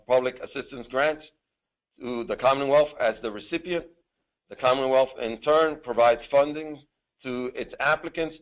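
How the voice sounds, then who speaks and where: A man giving a formal, mostly scripted presentation as a government official on a conference call, reading deliberately in short, halting phrases. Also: medium pitch. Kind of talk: formal scripted presentation with some improvised asides. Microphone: telephone. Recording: narrow tinny phone conference line.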